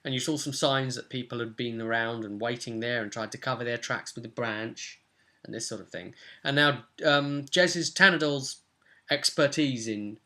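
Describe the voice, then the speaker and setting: Game master, young man, British, bright voice, casual tabletop session recap. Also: medium pitch, normal speed. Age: young adult. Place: small cluttered room at home.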